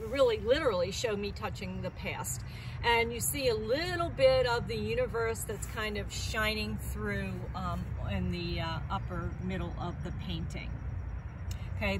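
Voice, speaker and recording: A woman in her sixties, an artist and teacher, explaining her work informally in a measured way, outdoors and away from the camera. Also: mid-range voice. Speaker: artist and art teacher presenting paintings. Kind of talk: informal talk presenting artworks. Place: outdoors by a weathered wooden wall. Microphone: camera a few metres away. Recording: distant mic, noisy outdoor ambience.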